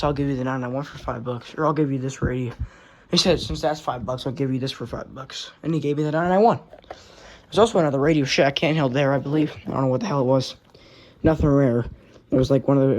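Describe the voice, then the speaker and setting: A man giving a casual, rambling tour of a collection, offhand and mildly profane. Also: medium pitch. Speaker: radio collector showing collection. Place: indoors among working radios. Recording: clear background noise with clicks.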